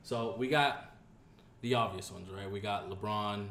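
A man's voice: lowish voice, unhurried, a bit bright. Joking man co-hosting a podcast, echoey room. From Dominican family. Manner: swearing, joking, rambling with fillers. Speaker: podcast co-host. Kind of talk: casual chat. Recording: echoey room with faint hiss.

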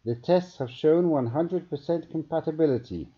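A man voicing a weary, excitable older alien scientist in an emphatic way, on a muffled recording. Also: fairly high pitch, normal speed, husky, pitched above the performer's own voice. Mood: eager. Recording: dull, muffled narrow-band recording.

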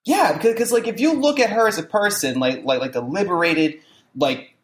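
This young host chats loosely and says "like" a lot. The pitch leaps around as they talk. The voice bounces around a reverberant room. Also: a little fast. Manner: animated, says "like" a lot, does mocking quoted voices. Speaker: podcast host. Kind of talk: casual chat. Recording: echoey room.

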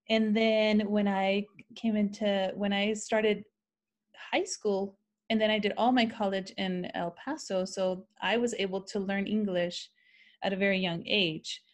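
A woman teacher who grew up in Ciudad Juarez and whose first language is Spanish, talking in a casual interview with rambling, self-correcting storytelling. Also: mid-range voice. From Mexico-US border, Ciudad Juarez. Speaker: teacher, guest.

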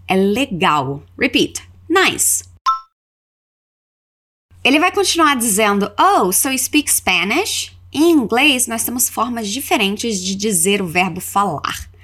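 Lively Brazilian woman teaching. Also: fairly high pitch. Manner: instructive, enunciates example phrases slowly and deliberately. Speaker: English teacher hosting a podcast lesson. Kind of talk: scripted language lesson with repeat-after-me drills.

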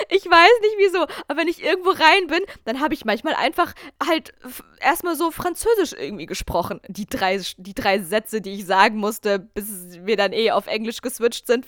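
A young German woman hosting a podcast, telling a travel story in a chatty, animated, self-mocking way. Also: fairly high pitch, no room sound, clean studio sound. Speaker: podcast host. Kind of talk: casual storytelling chat.

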